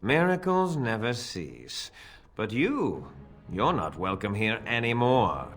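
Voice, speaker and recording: A man playing a long-suffering, exasperated shopkeeper in a video game, dryly sarcastic with deadpan, sighing remarks. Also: on the low side, slow pace, exaggerated voice.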